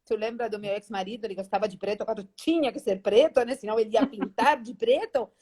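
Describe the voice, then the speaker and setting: Spanish woman telling lively stories. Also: on the high side, quick pace, breathy edge. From Spain. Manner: animated, acts out past dialogues, frequent "né?" tags. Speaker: guest. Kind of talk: casual storytelling interview.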